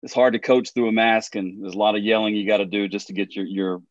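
A hoarse man in his forties, a basketball trainer and podcast guest, talking earnestly and often repeating words. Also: lowish voice, fairly even intonation, quick pace, muffled recording.

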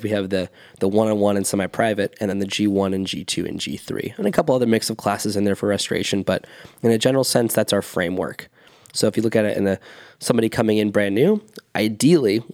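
A man's voice: on the low side, darkish tone. A man in his thirties, a fitness coach co-hosting a podcast, explaining earnestly in a casual chat with restarts.

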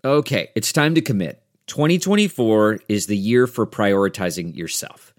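A man's voice: fairly low pitch, animated, normal speed, soft background music. A man reading an advertisement in an upbeat, emphatic way. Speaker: ad read. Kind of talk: promotional read.

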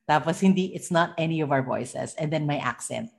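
Husky Filipino woman telling ghost stories. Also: low voice, a little fast. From Philippines. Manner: switches between English and Tagalog mid-sentence, animated, laughing. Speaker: guest, podcast co-host. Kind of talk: casual storytelling in Taglish. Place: home.

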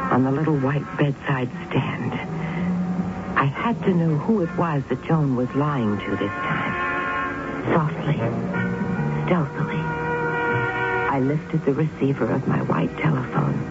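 An actress playing an anxious woman who narrates her own tense story in an old radio drama, hushed and brooding. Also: sits low, measured pace, long pauses. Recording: tinny 1970s radio broadcast with loud music.

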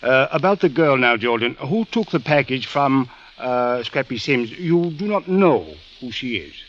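An actor playing a courteous, measured police captain with formal, deliberate phrasing in 1940s radio drama. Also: male voice, medium pitch, lively intonation, fairly bright tone, slight background noise. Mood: formal, grave. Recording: dull old radio recording.

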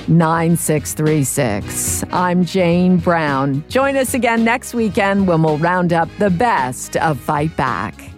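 Woman, radio host, scripted presenting, low-pitched, dry recording, faint music. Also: darkish tone.

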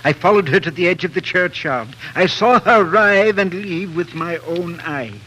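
An actor playing a stern, insinuating royal minister, grave and insistent, in 1940s radio drama style. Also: male voice, high-pitched, moderate pace, bright, forceful tone, slight background noise, low-quality recording.

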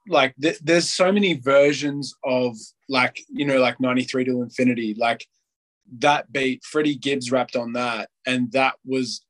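A man talks in a relaxed podcast chat. His voice sits at an ordinary middle pitch. He rambles, stacks up "like", and slips into acted-out quotes. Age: late 20s. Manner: rambling, heavy use of "like", acts out quotes.

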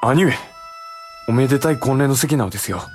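An actor playing a young samurai younger brother in a period audio drama, polite yet frankly chiding. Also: male voice, medium pitch, expressive, brisk, faint music behind, natural voice. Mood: lively reproach.

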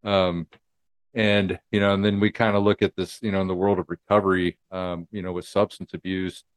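Low-voiced male interview host. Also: a little fast. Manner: relaxed, many fillers, supportive. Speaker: podcast host. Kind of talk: casual interview.

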